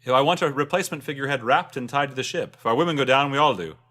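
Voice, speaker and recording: A man's voice: mid-range voice, very fast, fairly bright tone, pitched above the performer's own voice, close to an everyday voice. A man voicing a confident, commanding riverboat captain in a fantasy story, with emphatic delivery. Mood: urgent, commanding.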